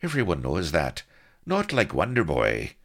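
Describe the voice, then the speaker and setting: A man's voice: low-pitched, big pitch swings, unhurried, fairly bright tone, voice pushed up, full character voice. A man voicing a sly rogue who feigns meek, wounded innocence with theatrical, mock-humble delivery in a comic storybook. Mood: wounded, martyred.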